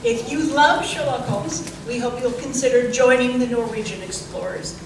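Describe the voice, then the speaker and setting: Woman, theatre director, live welcome speech, recorded from far off, clearly noisy background. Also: fairly high pitch, normal speed. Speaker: theatre company director introducing the show. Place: large echoey indoor venue with an audience. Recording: noisy, very echoey hall from far off.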